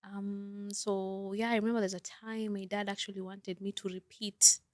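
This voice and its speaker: A young woman from Kenya chats on a podcast with a mid-pitched, everyday voice. Her talk is animated and storytelling. The sound is close and dry, with no room around it. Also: moderate pace, no room sound. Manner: chatty and animated, telling stories with faith remarks. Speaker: podcast co-host. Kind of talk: casual podcast chat.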